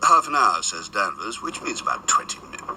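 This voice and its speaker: An actor with a British accent playing a condescending government official in a naturalistic radio drama. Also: male voice, lowish voice, big pitch swings, fairly quick, bright, forceful tone, exaggerated voice. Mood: bored, disdainful. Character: weary, condescending male government official on a phone call. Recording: distant, noisy moving car interior.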